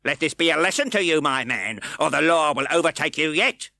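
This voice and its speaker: A man's voice: a little high, animated, brisk, gravelly, no room sound, studio quality, pitched above the performer's own voice, full character voice. A man reading aloud and voicing a harsh, sneering male magistrate who barks orders and insults. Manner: barking, insulting, shouting orders.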